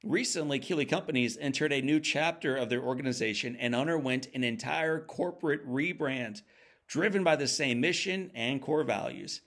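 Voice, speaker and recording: A man's voice: medium pitch, moderate pace, fairly bright tone, no room sound, clean studio sound. A man hosting an inspirational podcast, speaking in an earnest, emphatic, motivational way. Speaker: podcast host.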